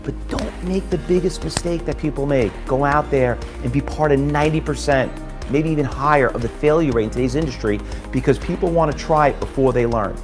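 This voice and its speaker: A man in his forties, founder of a trading school, pitching emphatically with rhetorical questions. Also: a little fast. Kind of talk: promotional testimonial pitch. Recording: music bed underneath.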